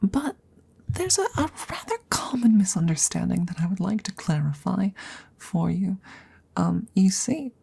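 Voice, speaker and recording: A woman voicing an awkward, courteous female dragon in an ASMR roleplay, speaking softly and haltingly, often dropping into a breathy whisper. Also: medium pitch, very animated, full character voice. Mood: earnest, confiding. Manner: hesitant, halting, formal and polite.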